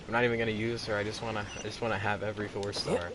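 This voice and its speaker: A man's voice: lowish voice, level tone, racing, heavy background noise. A man giving casual, rambling gameplay commentary, hesitant and often trailing off mid-thought. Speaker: gaming video maker. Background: game music.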